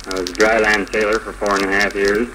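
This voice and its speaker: Brisk, bright American man, low-pitched. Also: little pitch movement. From North Dakota, United States. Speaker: guest, groom. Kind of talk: brief plain interview answers. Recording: faintly noisy vintage radio broadcast.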